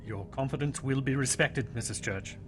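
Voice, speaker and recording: An actor playing a dry, methodical police inspector in a period radio crime drama, deliberate and authoritative. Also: male voice, medium pitch, faint music behind, lightly acted.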